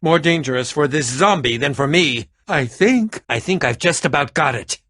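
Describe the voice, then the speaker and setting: An eccentric middle-aged male adventurer for a video game. His voice is high and sharp, swinging widely in pitch. He sounds theatrical and pompous, with a dry, fussy, inquisitive streak. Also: lively intonation, moderate pace. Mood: earnest, fussy. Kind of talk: animated video game voice acting.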